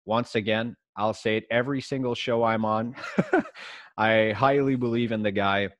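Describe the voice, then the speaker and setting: A man's voice: lowish voice, fairly even intonation, normal speed, no echo. A man whose first language is French, giving casual market commentary as a guest investor and collector.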